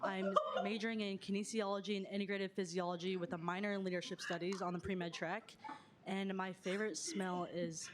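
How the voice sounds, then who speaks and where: A young woman from Missouri, a student, giving a brief, even self-introduction. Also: mid-range voice, a little fast, a crowd in the background. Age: young adult.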